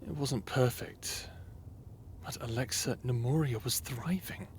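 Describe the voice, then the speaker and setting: A man playing a devoted, weary but steadfast human in an intimate audio drama, speaking in a heartfelt, deliberate way. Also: mid-range voice, slight background noise, close to an everyday voice. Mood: wistful, weary.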